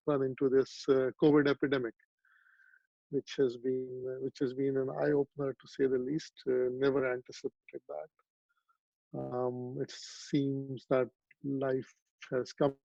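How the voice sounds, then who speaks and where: A man's voice: medium pitch, little pitch movement, unhurried, creaky, mellow, no room sound, lo-fi sound. A man, telling his story reflectively in an interview over a video call, with many ums and restarts. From Pakistan. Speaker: psychiatrist guest.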